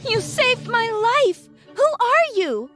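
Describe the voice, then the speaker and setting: A woman acts out a young fairy tale princess with a high, very animated voice. She is earnest, anxious and pleading, melodramatic in cartoon fashion, with loud music underneath. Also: takes it slowly, full character voice. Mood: fervent, pleading. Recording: music behind the voice.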